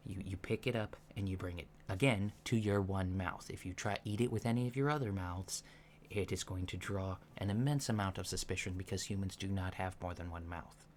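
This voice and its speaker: A soft-spoken man giving gentle, measured instructions in an ASMR roleplay, pausing often mid-sentence. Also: sits low. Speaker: ASMR roleplay performer playing a training instructor. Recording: lo-fi with faint background noise.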